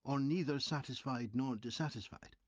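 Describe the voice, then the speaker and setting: A man's voice: mid-range voice, moderate pace, a little mellow, dry recording, dull audio, close to an everyday voice. A man playing a courteous, methodical survey interviewer in a scripted dialogue. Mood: polite, businesslike. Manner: polite, methodical questioning. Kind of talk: scripted listening-test dialogue.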